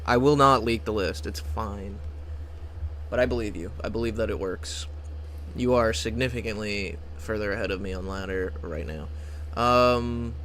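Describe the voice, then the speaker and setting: A young man chatting casually on a livestream as he plays, trailing off into quiet, mumbled asides and swearing now and then. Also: lowish voice, slight background noise. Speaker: streamer.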